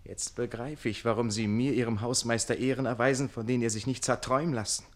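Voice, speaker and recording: Casting: man playing wry, ardent servant about to marry, sharp-witted and theatrical, classic radio drama. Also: mid-range voice, steady tone, fast talker, close to an everyday voice. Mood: bitterly ironic. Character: quick-witted, ardent, indignant servant and bridegroom. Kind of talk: classic German radio play acting.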